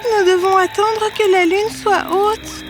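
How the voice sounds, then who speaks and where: An earnest young cartoon girl, voiced by a woman, extremely high-pitched and childlike. She sounds like an anxious child in a fairy tale, over faint music. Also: fairly even intonation, slow. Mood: worried.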